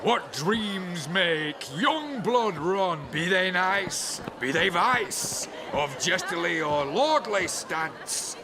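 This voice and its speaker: An actor in a video game playing a theatrical master of ceremonies, declaiming in rhyme at a folk wedding. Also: male voice, sits high, animated, very slow, hardly any pauses, background noise, heavily acted. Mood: ceremonial.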